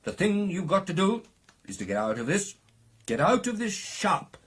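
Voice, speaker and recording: An actor playing an excitable British gentleman recounting a ghostly encounter in a radio drama, with theatrical, animated delivery. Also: sits high, moderate pace, husky, heavily acted. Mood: animated, musing. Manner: theatrical, animated storytelling with exclamations and hesitations.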